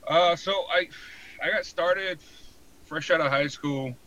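Bright-voiced American man, halting casual storyteller. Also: on the high side, lo-fi sound. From San Diego, born in Hawaii. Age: 40s. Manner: halting, colloquial, frequent 'you know'. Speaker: podcast guest, fire protection inspector.

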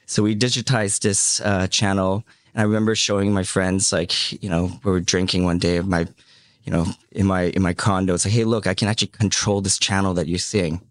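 A man in his thirties who grew up in Hong Kong, a podcast guest telling his life story in a casual, halting way, full of "um" and "you know". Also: sits low, level tone, dry recording, clean studio sound. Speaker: podcast guest, media entrepreneur.

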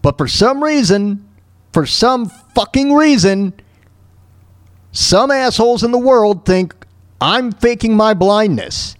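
A man's voice: high-pitched, animated, slow, no room sound, studio quality. A man hosting a solo podcast in a ranting style, speaking bluntly and emphatically and often repeating his phrases. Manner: blunt, profane. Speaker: podcast host.

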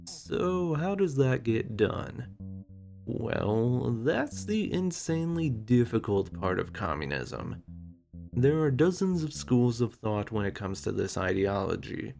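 Male narrator, mid-pitched with gravelly fry; scripted explainer with wry asides; faint guitar bed. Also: sing-song, takes it slowly, darkish tone.

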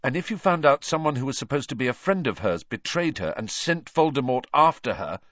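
Man playing a brooding, bitter teenage boy, animated. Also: mid-range voice, fairly quick, no room sound, highs cut off, pitched above the performer's own voice, lightly acted. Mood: anguished, bitter. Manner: abrupt, intense.

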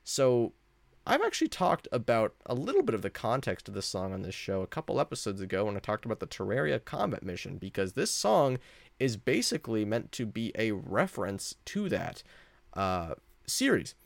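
A man with a deep voice hosting a casual solo podcast, talking in a rambling, conversational and self-deprecating way. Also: huge pitch swings, normal speed, creaky, no room sound. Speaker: podcast host.

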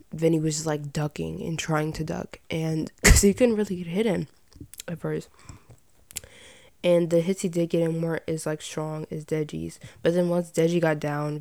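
A young woman with a slightly raspy voice and some vocal fry at sentence ends, chatting casually and giving her opinions as a podcast host. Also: low-pitched, mellow, no room sound. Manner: informal, rambling, opinionated. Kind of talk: casual chatty solo commentary.

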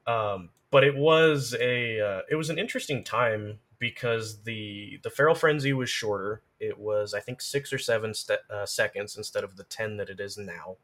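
A man's voice: lowish voice, moderate pace, hardly any pauses, a bit bright. A man talking as a podcast guest, casually explaining things in detail like someone who knows the subject well. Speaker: podcast guest, game lore and gameplay expert.

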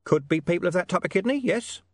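A man's voice: high voice, lively intonation, quick pace, no room sound, studio quality, voice pushed up. A man voicing a shady, streetwise talking dog who poses as a mysterious informant in an audiobook story. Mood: wheedling, evasive. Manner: streetwise, evasive, menacing slang.

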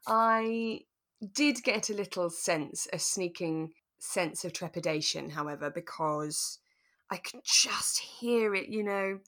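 A woman with a clearly bright, forward voice co-hosts a casual chat show. She explains her thoughts carefully and a little tentatively, in a relaxed conversational style. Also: fairly low pitch, slow. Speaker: podcast co-host. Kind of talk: relaxed radio drama discussion chat.

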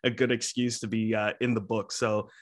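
A man's voice: fairly low pitch, flat delivery, moderate pace. A man hosting an interview show, friendly and upbeat, with frequent filler uhs. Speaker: podcast host.

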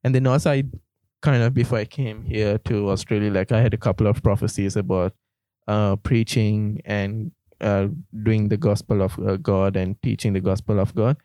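A man's voice: on the low side, normal speed, no room sound, rough recording. A young man from Sri Lanka, a university student co-hosting a casual faith podcast, talking easily with lots of "like". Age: young adult. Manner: relaxed, uses "like" a lot. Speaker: podcast co-host. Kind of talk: casual chat.

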